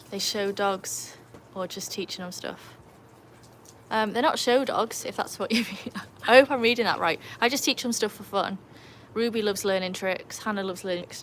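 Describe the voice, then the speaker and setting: An English-accented woman hosts a relaxed livestream outdoors beside a pool, chatting freely and laughing often as she reads out comments. Her voice sits at a mid pitch for a woman, and a faint outdoor hum runs underneath. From England. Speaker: livestream host. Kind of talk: casual livestream chat.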